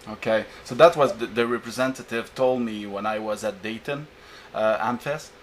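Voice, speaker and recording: A man interviewing, speaking in brief, halting remarks from a distance. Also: lowish voice, a little hiss or hum.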